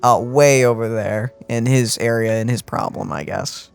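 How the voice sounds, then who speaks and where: A man's voice: mid-range voice, moderate pace, vocal fry, clean tone. A man giving casual, wry and sarcastic let's play commentary as he games. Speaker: gaming channel host.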